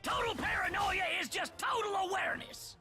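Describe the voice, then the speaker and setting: A man playing a crazed, cranky little redneck creature from a video game, with a raspy voice and a rural Southern American accent, belligerent and folksy. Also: very high voice, moderate pace, faint music behind, exaggerated voice. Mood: paranoid. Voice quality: raspy, gravelly shouting. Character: crazed cranky small yordle redneck, paranoid and bloodthirsty.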